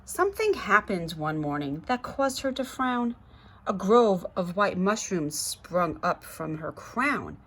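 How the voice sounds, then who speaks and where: Expressive woman reading a children's story. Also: medium pitch, slow pace. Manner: rhythmic, dramatic, addressing children. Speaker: guest storybook reader. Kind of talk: expressive children's read-aloud, chatting to listeners.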